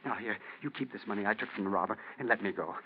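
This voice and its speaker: An actor playing a mild middle-aged fugitive who stammers and pleads, in a 1940s radio drama. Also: male voice, lowish voice, racing, slight background noise, lightly acted. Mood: anxious confessing. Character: mild, panicky fugitive man of forty-five, pleading. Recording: dull vintage 1940s radio recording.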